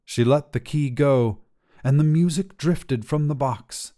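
A man reading a short story as its narrator, with a measured, literary storytelling delivery. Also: on the high side, dark tone. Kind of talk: scripted fiction narration, storytelling.

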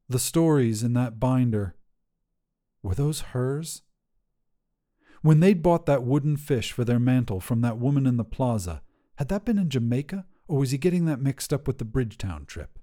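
A man's voice: mid-range voice, mellow. A man reading scripted material as the narrator of a fiction podcast.

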